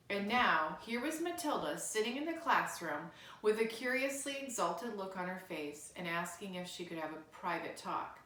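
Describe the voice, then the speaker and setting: A woman narrates a children's storybook aloud at a middle pitch. The reading is scripted narration, and the sound is roomy with clear echo around the voice. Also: a bit bright. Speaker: storybook reader. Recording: roomy, echoey indoor sound.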